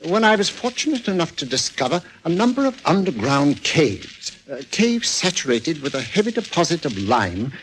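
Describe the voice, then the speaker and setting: An actor playing an eager, courteous museum curator, scholarly and hesitant, in 1940s radio drama style. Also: male voice, on the high side, very animated, moderate pace, few pauses, fairly bright tone. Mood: scholarly enthusiasm. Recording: noisy old 1940s radio recording.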